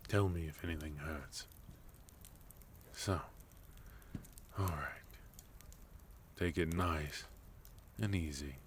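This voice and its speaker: Man playing a very deep-voiced, unhurried gentleman villain. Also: a little hiss or hum, exaggerated voice. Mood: gentle, caring. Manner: soft-spoken, unhurried, gently teasing, with breathy murmurs. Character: sophisticated courteous villain leader, calm and teasing. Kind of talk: ASMR roleplay, intimate scripted monologue to listener.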